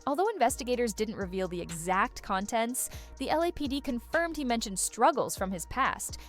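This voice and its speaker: A woman's voice: a little high, animated, moderate pace, faint music behind. A woman reading scripted gossip-video narration in a dramatic, suspense-building way.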